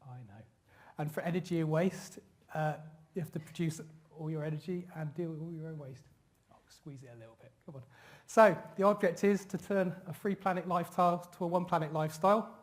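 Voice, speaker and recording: A man giving a talk to an audience about planning, pausing often with fillers and restarting phrases. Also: a little high. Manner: halting, many fillers and restarts. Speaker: conference speaker. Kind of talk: presenting a talk with slides.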